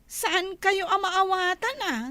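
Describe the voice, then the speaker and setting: Audio drama role: actress as young Ilocano village woman, very high-pitched and sharp, easily alarmed. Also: no echo, exaggerated voice. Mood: puzzled, polite. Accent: Filipino, Ilocano.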